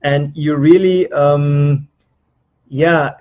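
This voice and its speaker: Slow, hesitant Austrian man, dull sound. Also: on the high side, fairly even intonation. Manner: hesitant, repeats words while searching. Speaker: trainee being interviewed, business coach. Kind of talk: interview answers.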